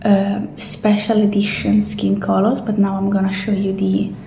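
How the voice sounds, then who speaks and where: Female ASMR roleplayer, soft and mellow, slipping into whispers, gently guiding, in a slightly echoey room. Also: medium pitch, monotone, normal speed, gravelly. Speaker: ASMR roleplay performer as a clinic assistant. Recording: roomy echo, faint background noise.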